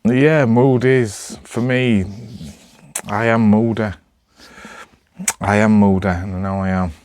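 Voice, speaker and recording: A man's voice: on the low side, fairly even intonation, takes it slowly, darkish tone, clean tone. A man with a Northern English accent chatting casually to camera, rambling in a hesitant, self-deprecating way. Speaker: amateur vlogger.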